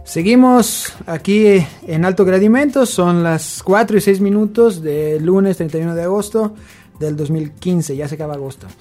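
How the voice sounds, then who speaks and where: A woman's voice: lowish voice, moderate pace, warm tone, faint music behind. A woman hosting a radio interview, asking friendly questions with many hesitations. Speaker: radio host.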